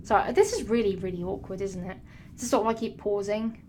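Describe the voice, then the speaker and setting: A young woman, her voice hoarse, rambling sassily to camera with frequent swearing and restarts. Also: medium pitch, a little fast, dark tone, slight background noise. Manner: rambling, sassy, swears often, many restarts. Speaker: vlogger. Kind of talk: rambling casual monologue.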